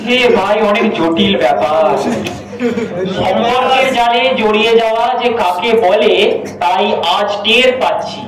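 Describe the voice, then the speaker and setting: A man's voice: sits high, measured pace, a crowd in the background. A man playing an exasperated village husband in a comic audio drama, explaining his tangled family insistently, step by step. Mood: troubled, reluctant. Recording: distant echoey hall recording with audience noise.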